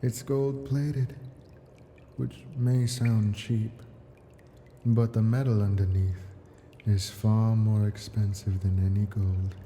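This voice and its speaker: Ancient dragon played by a man for ASMR roleplay: doting but possessive captor, unhurried and deeply mellow, soft coaxing delivery. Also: little pitch movement, a little hiss or hum. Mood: proud, coaxing. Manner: slow, coaxing, possessive endearments with soft whispers.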